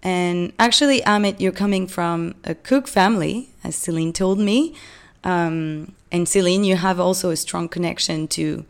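A woman interviewing two company founders, asking long questions in a gentle, hesitant way. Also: fairly low pitch, moderate pace, smooth.

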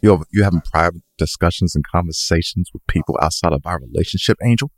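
Low, husky man chatting casually. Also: fast, no echo. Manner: laid-back, slangy, joking. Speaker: podcast co-host. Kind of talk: casual chat.

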